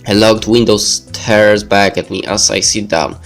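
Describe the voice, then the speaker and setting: A man's voice: lowish voice, fairly even intonation, unhurried, fairly bright tone. A man reading the narration of a visual novel aloud in a let's play style. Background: game music.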